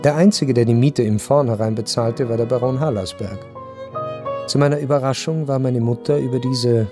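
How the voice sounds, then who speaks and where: An actor playing a reflective former officer who narrates his memoir in a measured, literary radio drama style. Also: mid-range voice, lightly acted.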